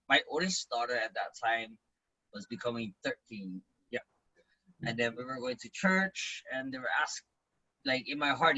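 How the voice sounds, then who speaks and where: A man in his forties tells his story in a relaxed interview with a bright, husky voice. He talks like the event emcee he is and throws himself into quoting others. His voice jumps up loud whenever he quotes someone. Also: medium pitch, poor audio. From Hawaii. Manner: animated, acts out quoted lines in a raised voice. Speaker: business owner, event emcee.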